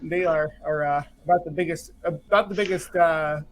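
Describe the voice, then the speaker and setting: A man chatting casually as a guest, hesitant, with many pauses and fillers. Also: a little high, fairly even intonation, rough recording. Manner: hesitant, lots of uh. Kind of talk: casual chat.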